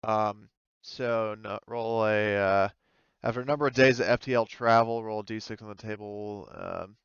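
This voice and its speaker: Man, game master, smooth resonant voice, casual, thinking aloud, muffled dry recording. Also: fairly low pitch, fairly even intonation, normal speed, a bit bright, dry recording. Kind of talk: casual rules talk in a tabletop roleplaying game.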